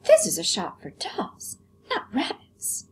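A woman voicing a vain, haughty female china doll in a storybook, with a high, squeaky voice and a dismissive, self-important manner. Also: slow, a little hiss or hum, lightly acted. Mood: snooty, dismissive.

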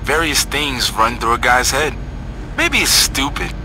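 A man's voice: medium pitch, very animated, unhurried, background noise. A man playing a cocky, foul-mouthed insider in a video game, slangy and smug. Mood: accusing. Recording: loud game music underneath.

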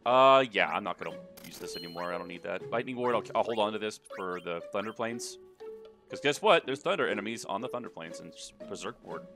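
A man's voice: lowish voice, lively intonation, a little fast, gravelly, music in the background. A man hosting a let's play, giving casual, rambling commentary with jokes and swearing.

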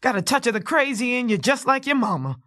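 Gruff, bitter, hard-drinking woman. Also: a little high, very animated, quick pace, fairly bright tone. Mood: gruff, dismissive. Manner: gruff, profane, curt folksy talk. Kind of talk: naturalistic audio play acting.

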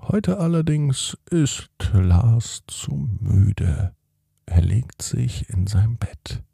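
Slow, mellow male bedtime storyteller. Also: medium pitch, gravelly, slightly rough, no echo, clean studio sound. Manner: expressive, addressing children directly. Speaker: podcast host and storyteller. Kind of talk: scripted children's bedtime story narration.

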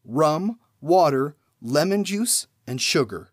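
A man hosting a trivia podcast, reading numbered questions and then giving each answer after a pause, in a measured way. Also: a little high, very animated, no echo, clean studio sound.

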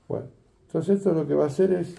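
A man's voice: on the high side, fast talker, gravelly, warm tone, reverberant, slight background noise. An older man, teaching a fishing rig setup in a chatty tutorial and often asking his viewers "¿eh?" and "¿ves?". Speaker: fishing instructor. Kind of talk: hands-on tutorial demonstration. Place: indoor workroom at a table.

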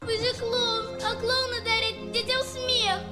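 A woman's voice: very high-pitched, little pitch movement, measured pace. A female performer playing an earnest, tender little girl in a children's fairy-tale film. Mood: reproachful. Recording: film dialogue under loud music.

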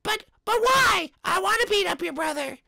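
A woman voicing a loud, taunting schoolyard bully boy who turns cowardly, in a homemade cartoon play. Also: very high-pitched, lively intonation, moderate pace, creaky, dry recording, studio quality, full character voice. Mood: smitten.

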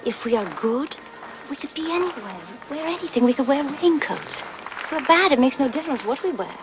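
Opera singer, female,; persuasive and earnest, animated delivery over music. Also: sits high, fairly quick. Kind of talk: film drama acting. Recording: film soundtrack with music behind.

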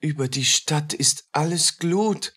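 An actor playing a haunted, humble poor soldier in a radio play, speaking in halting fragments with a husky voice. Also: male voice, fairly high pitch, a bit bright, heavily acted. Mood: haunted, fearful.

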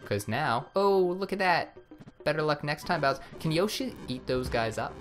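A man's voice: a little high, expressive, normal speed, a bit bright. A man chatting casually and joking while coaching his sibling through a platform game, with game music behind. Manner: laid-back, joking, coaching. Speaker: co-host playing a level-building game. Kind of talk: casual let's play chat.

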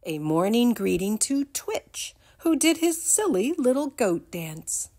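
An older woman giving an expressive read-aloud storytime for young children, in a deliberate, animated manner. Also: on the high side. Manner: deliberate, animated, addresses the listeners with questions.

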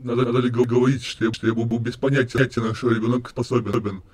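A man's voice: mid-range voice, steady tone, quick pace, a little mellow, natural voice. A man voicing a deranged skeleton destroyer in an amateur comic dub, cheerfully menacing, stuttering and repeating words like a glitch. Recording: clear background noise.

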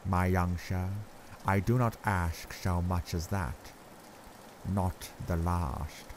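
A man voicing an older, grave and kindly foreign professor who speaks in halting, odd word order. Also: sits low, level tone, a little hiss or hum, rough recording.

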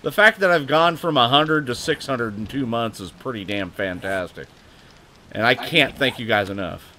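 A man's voice: medium pitch, normal speed, pushed and bright, slight background noise. An American man hosting a gaming livestream, chatty, laughing often and swearing when something surprises him. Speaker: livestream host. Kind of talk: casual gaming livestream chat.

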